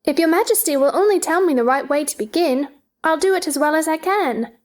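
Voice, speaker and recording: A woman's voice: high voice, lively intonation, a little fast. A woman playing a polite, puzzled, sensible young girl in a theatrical children's storybook drama, earnest and questioning.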